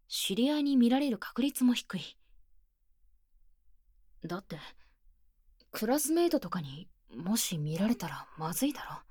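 A woman playing an earnest, bookish high-school club president with stiff, boyish phrasing, speaking softly and close in a Japanese ASMR voice drama. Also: no room sound. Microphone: close binaural microphone, dry.

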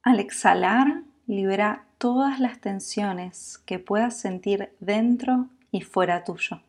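A woman with a Latin American Spanish accent guiding a sleep meditation in a calm, soothing, unhurried manner, leaving long pauses. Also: medium pitch. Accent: Latin American Spanish with voseo. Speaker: yoga nidra meditation guide.

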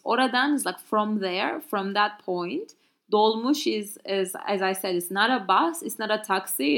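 A woman teaching a language in English, which is not her first language. She talks in a loose, chatty way, full of fillers and restarts, at a middling pitch. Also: fairly bright tone. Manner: casual, chatty explaining with many fillers and restarts. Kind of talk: explaining a Turkish dialogue in English.

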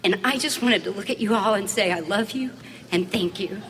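Earnest woman speaking in an echoing hall. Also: mid-range voice. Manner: earnest, deliberate. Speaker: audience questioner. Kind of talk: heartfelt audience address. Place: large convention hall. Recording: echoey hall with background noise.

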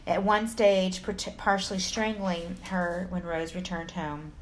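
A woman's voice: fairly low pitch, little pitch movement, a little slow, slight background noise, rough recording. A woman from Texas with an American accent, co-hosting a podcast, reading a story in an informal way with casual asides and stumbles. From Texas, United States. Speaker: true crime podcast co-host.

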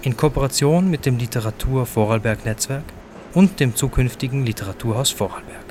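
A man's voice: medium pitch, a little fast, a little mellow. A man reading out scripted credits as an announcer. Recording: clear ambient background noise.

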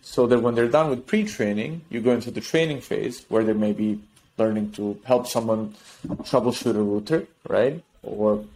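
A man talking as a guest on a podcast interview, explaining technology in an animated way with restarts and trailing thoughts. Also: on the low side, normal speed, poor audio. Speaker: tech company guest.

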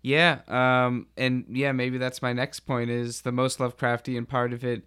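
A man's voice: mid-range voice, completely flat delivery, measured pace, fairly bright tone, smooth. A man chatting casually as a podcast panelist, explaining and retelling in an informal way.

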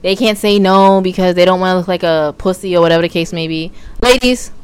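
A woman's voice: fairly low pitch, steady tone, fast, clear, resonant tone. A woman co-hosting a podcast in casual chat, blunt and teasing, handing out frank advice.

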